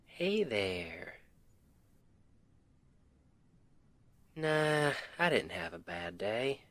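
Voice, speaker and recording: Man acting as slow, sweet Southern husband. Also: on the low side, very animated, fairly bright tone, no room sound, exaggerated voice. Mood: weary, glad. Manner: tender, affectionate, drawling. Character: sweet, devoted Southern husband home from work. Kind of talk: ASMR roleplay, intimate and domestic.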